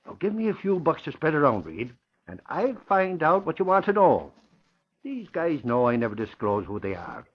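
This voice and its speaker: An actor playing a brash, boastful, blustery newspaper reporter in an old radio crime drama. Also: male voice, on the high side, wildly swooping pitch, normal speed, slightly rough, full character voice. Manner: blustery, colloquial. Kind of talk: 1940s radio crime acting. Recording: dull vintage radio recording.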